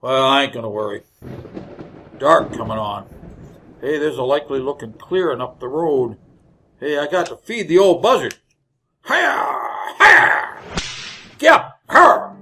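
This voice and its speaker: An actor playing a greedy, folksy wagon driver in an old-time radio western, talking in blunt rustic cowboy speech. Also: male voice, fairly high pitch, big pitch swings, measured pace, long pauses, pushed and bright, exaggerated voice. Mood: idle, calculating. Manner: drawling rustic cowboy grammar, blunt, jovially callous.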